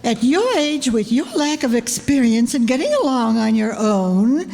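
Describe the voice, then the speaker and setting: A woman's voice: a little high, expressive, moderate pace, creaky, darkish tone. A woman playing an imperious, wealthy old aunt in an old-time radio drama, haughty and domineering. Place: live stage show in a hall. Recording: echoey hall, long reverb.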